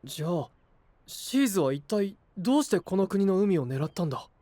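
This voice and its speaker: A man's voice: sits high, very animated, brisk, mellow, no echo. An actor playing an earnest, caring young male hero, sincere and straightforward, in an anime audio drama. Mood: pondering.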